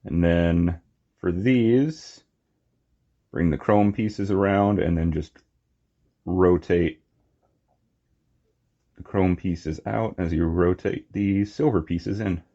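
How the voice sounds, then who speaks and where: A man giving a casual, unscripted hands-on toy review, talking in short, halting phrases on a slightly muffled recording. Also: low-pitched, warm tone. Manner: halting, short phrases while handling objects.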